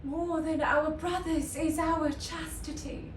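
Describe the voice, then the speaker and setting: A woman's voice: high-pitched, level tone, slow, distant mic. A woman playing a chaste young heroine in a classical stage monologue, intense, formal and declamatory. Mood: solemn, resolute. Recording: echoey room, background noise.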